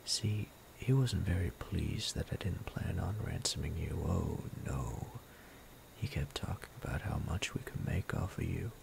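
A man voicing a sadistic male bandit captor in an ASMR roleplay, low and gravelly, often whispering in a menacing, playful way. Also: a little slow, heavily acted. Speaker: voice actor.

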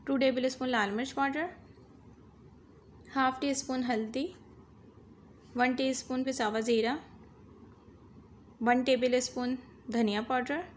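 A woman's voice: high-pitched, fairly even intonation, unhurried, long pauses, a little hiss or hum. A woman hosting a cooking channel, giving a step-by-step recipe voice-over and guiding viewers through each stage. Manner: instructive, repeats "dekhiye" to guide viewers.

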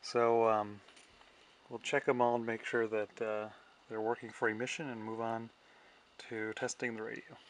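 A man's voice: medium pitch, level tone, normal speed. A man giving a casual technical demonstration, thinking aloud as he works. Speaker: radio restorer narrating his own repair. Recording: clear background noise.

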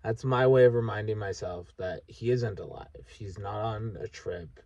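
A man of about thirty, talking frankly and haltingly in a sweary, candid personal vlog monologue. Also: lowish voice, a little mellow, dry recording, recorded from a distance, muffled recording. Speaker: vlogger. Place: inside a parked vehicle at night.

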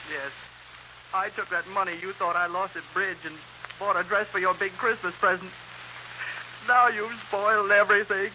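An actor playing a fussy, jealous househusband in 1930s radio comedy, plaintive and theatrical. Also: male voice, high voice, fairly even intonation, moderate pace, sharp tone. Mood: flustered, hurt. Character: fussy, jealous, nagging househusband in a role-reversal sketch. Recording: dull, hissy 1930s radio recording.

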